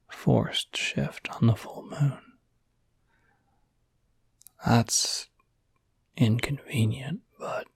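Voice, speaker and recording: A gentle, reassuring werewolf acted by a man looks after the listener in an intimate ASMR roleplay. His voice is a very breathy, soft whisper at a medium pitch. He speaks slowly and haltingly, with an easygoing, soothing manner. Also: no echo, heavily acted. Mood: wistful, easygoing.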